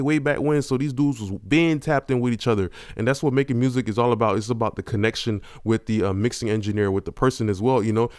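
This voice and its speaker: A man's voice: mid-range voice, darkish tone, clean tone, no room sound. A man hosting a casual music-production tutorial, talking in a laid-back, slangy way full of fillers, into a large-diaphragm studio condenser mic in a home studio. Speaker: YouTube host teaching vocal mixing.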